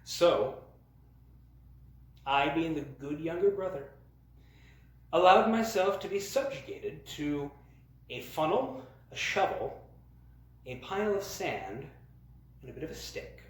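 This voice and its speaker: A man telling humorous personal stories as a host, in a theatrical, mock-grand way with dramatic pauses. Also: a little high, animated. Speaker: storyteller, host of a storytime series. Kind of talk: humorous personal storytelling to camera. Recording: very echoey, roomy sound.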